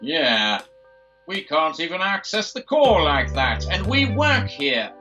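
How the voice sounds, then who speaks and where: A pompous squid soldier, voiced by a man, bright and slightly high, holds forth slowly in a comic audio drama. He is theatrical and self-important. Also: big pitch swings, lo-fi sound, soft background music, exaggerated voice. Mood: indignant.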